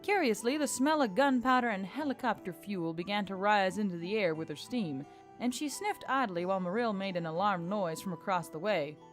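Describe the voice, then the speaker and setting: A woman's voice: medium pitch, lively intonation, a little fast. Woman narrating fantasy fiction over music. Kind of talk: scripted fantasy story narration.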